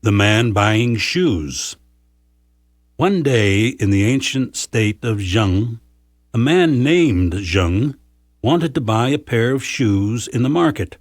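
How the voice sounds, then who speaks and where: A man narrating folktales from a script for learners, with measured, careful enunciation. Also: medium pitch, animated, no room sound, studio quality.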